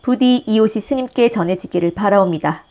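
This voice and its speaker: A woman's voice: medium pitch, fairly quick, mellow, natural voice. A woman voicing a devoted, shy young maiden in a storybook, polite and earnestly pleading. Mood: earnest wishing.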